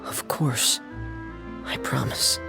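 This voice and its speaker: A man playing a quiet, withdrawn, gentle younger brother in a game, with a soft, breathy voice and terse delivery. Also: slow pace, over music, natural voice. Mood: quiet, solemn. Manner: terse, subdued. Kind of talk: understated game voice acting.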